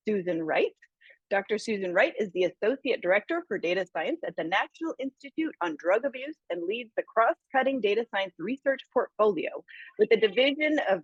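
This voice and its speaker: A woman's voice: medium pitch, breathy edge, no echo, dull audio. A woman moderating a panel, reading formal bios of the panelists aloud before inviting them to speak. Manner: brisk, formal bio reading.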